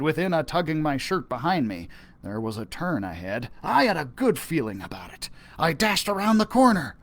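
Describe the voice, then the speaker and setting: Brief: playful children's librarian, pirate-speak storyteller, unhurried delivery, rough gravelly tone. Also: male voice, sits high, fairly bright tone. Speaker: children's librarian reading aloud. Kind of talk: storytime read-aloud. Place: library video recording.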